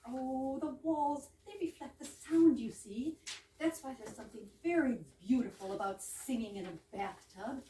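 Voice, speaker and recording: A woman's voice: sits high, moderate pace, mellow, close to an everyday voice. A woman playing a playful, teasing younger sister in an amateur staged reading of a 1920s comedy, breezy and mock-grand. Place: live reading in a roomy indoor space. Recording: echoey room, distant mic, background noise.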